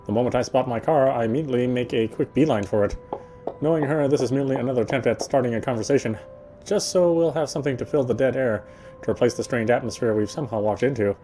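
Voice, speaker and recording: A man's voice: mid-range voice, quick pace, warm tone. Man reading a story aloud over music. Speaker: game streamer reading a visual novel aloud. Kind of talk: narration read aloud from a story. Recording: music behind the voice. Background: game music.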